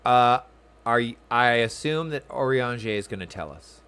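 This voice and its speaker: A male streamer with a medium-pitched voice gives casual game commentary. He muses aloud and talks informally to his viewers. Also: expressive, unhurried, fairly bright tone, clean tone.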